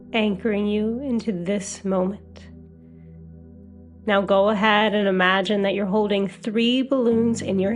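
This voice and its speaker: A woman guiding a meditation as a hypnotherapist, with a soothing, gentle, unhurried delivery. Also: mid-range voice. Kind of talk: guided meditation.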